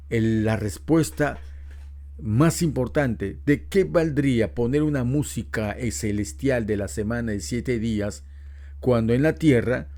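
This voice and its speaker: A man's voice: mid-range voice, warm tone. A middle-aged man preaching a Bible lesson, explaining patiently and asking rhetorical questions. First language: Spanish. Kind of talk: Bible teaching talk.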